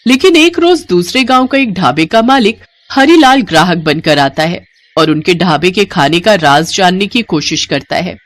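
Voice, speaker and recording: A woman's voice: fairly low pitch, expressive, moderate pace. A woman narrating a scripted Hindi moral story as its storyteller.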